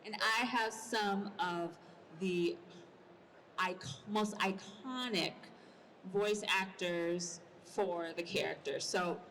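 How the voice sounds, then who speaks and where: A woman hosting a live convention panel as moderator, speaking with excited enthusiasm. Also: mid-range voice, slow, a little hiss or hum, low-quality recording.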